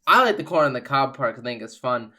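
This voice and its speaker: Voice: medium pitch, expressive, quick pace, sharp tone. A player voicing an easygoing, encouraging adventurer in an improvised tabletop game, casual, chatty and reassuring. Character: easygoing, encouraging carnival-going adventurer Dakota.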